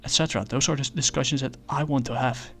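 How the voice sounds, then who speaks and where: Male comic reviewer, middle pitch, monotone, hesitant with lots of fillers. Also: vocal fry. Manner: halting, many fillers and restarts. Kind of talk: casual spoken review.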